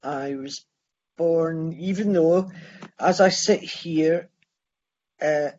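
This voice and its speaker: Older scottish man, sixties, slow halting testimony, somewhat high voice, dull lo-fi sound. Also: a little mellow. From Scotland. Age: 60s. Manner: halting, with many restarts and pauses. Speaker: speaker sharing his story at a recovery meeting. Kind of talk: personal testimony.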